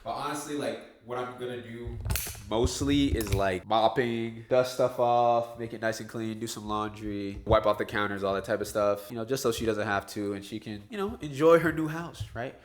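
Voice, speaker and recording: A man's voice: medium pitch, moderate pace. A young man in his twenties with a stuffy, nasal voice from allergies, chatting casually to camera at home. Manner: laid-back, chatty, says like and bro. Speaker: vlogger. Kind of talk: casual vlog talk to camera. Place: at home indoors.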